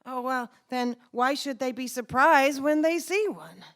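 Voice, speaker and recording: A woman acts out an ancient guardian angel in an old-time radio drama. The voice is high and gentle, with a polite, fussy, innocent manner. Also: unhurried. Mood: innocent, chatty. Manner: polite, fussy, chuckling, hesitant. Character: gentle, naive, cheerful elderly guardian angel Clarence.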